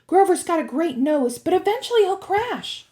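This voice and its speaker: A woman's voice: very high voice, big pitch swings, moderate pace, warm tone, pitched above the performer's own voice. A woman voicing a brainy, bossy young girl heroine in an audiobook, urgent and insistent. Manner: insistent, matter-of-fact. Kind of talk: audiobook character voice.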